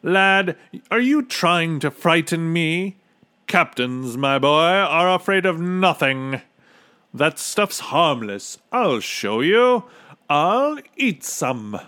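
A man's voice: fairly high pitch, animated, takes it slowly, bright, forceful tone, pitched above the performer's own voice, full character voice. A man voicing a smug, jolly male captain of the guards in a storybook, boastful and dismissive. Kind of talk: storybook character reading.

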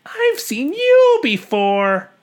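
A man doing a shrill lazy mother bird. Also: wildly swooping pitch, drawn-out words, heavily acted. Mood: delighted. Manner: shrill squealing falsetto. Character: lazy vain female bird, shrill and bossy.

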